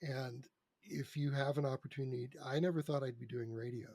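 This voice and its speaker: A man with a husky voice talking casually and candidly as an interview guest, explaining things through anecdotes. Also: mid-range voice, fairly even intonation, fairly quick, darkish tone, no echo. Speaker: interview guest, radio drama producer. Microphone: close microphone on a remote online recording.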